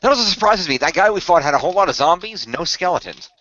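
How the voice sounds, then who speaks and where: Man, cast member, joking casual chat, bright tone, dull dry recording. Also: sits high, fast talker. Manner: joking and animated.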